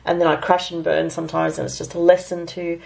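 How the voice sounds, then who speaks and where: An Australian woman with a raspy, gravelly voice, still a little worn from being sick. She talks through her astrology and tarot forecast in a loose, rambling way, full of fillers. Her tone sits somewhat low. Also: level tone, quick pace, darkish tone, low-quality recording. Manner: rambling, filler-heavy, thinking aloud. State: raspy from recent illness.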